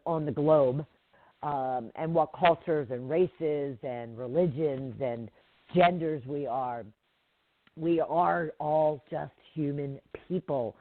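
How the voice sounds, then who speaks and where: A woman hosting a live talk radio show, congested from allergies, with a deeper-than-usual voice and an occasional cough, chatting casually with plenty of fillers. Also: no room sound. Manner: chatty, rambling, many fillers. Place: home in Atlanta. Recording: tinny phone line.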